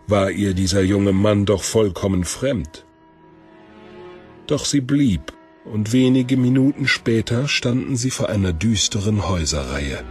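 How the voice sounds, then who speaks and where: A man's voice: on the low side, a little mellow. A man reading scripted narration for a fantasy audio drama.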